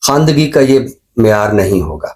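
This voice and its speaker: Pakistani man explaining in measured phrases. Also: lowish voice, big pitch swings, darkish tone. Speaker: expert guest on a literary and social talk show. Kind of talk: reflective discussion.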